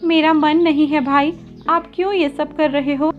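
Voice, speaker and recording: A woman's voice: high-pitched, fairly even intonation, measured pace, lo-fi sound, faint music behind, pitched above the performer's own voice. A woman voicing a meek, polite young female village sparrow in a children's cartoon story. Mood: reluctant. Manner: polite, hesitant, pleading.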